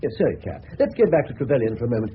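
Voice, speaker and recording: Actor as an eccentric English colonel, animated intonation. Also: male voice, medium pitch, rapid-fire, lightly acted. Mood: probing, courteous. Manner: clipped, courteous, probing. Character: eccentric, courteous, incisive male police colonel. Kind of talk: 1970s radio drama acting. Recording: muffled, noisy old radio broadcast.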